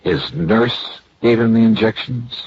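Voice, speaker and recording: An actor playing a brash, needling insurance investigator in 1950s radio noir style, with clipped, blunt delivery. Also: male voice, on the low side, measured pace, lightly acted. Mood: probing. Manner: clipped, blunt, pressing questions. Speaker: lead actor and narrator of a radio detective series. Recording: dull, noisy old radio recording.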